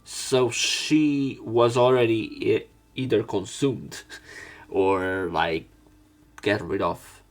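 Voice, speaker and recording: A man reading a visual novel aloud with commentary, in a theatrical, expressive way. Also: on the low side, drawn-out words. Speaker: let's player narrating and commenting on a visual novel. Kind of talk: dramatic read-aloud with commentary.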